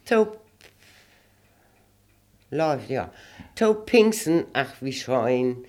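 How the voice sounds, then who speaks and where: Older woman, Low German accent, measured sing-song recitation, low pitch. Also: sing-song, a little mellow. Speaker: interviewee reciting dialect verse. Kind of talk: slow reciting of rhymed dialect poems.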